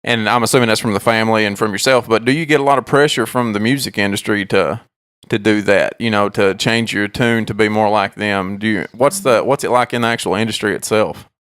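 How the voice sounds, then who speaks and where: A man co-hosting a podcast, asking questions in a measured, careful way. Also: on the low side, steady tone, a bit bright, smooth, no room sound, studio quality. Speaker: podcast co-host. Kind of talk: interview questions.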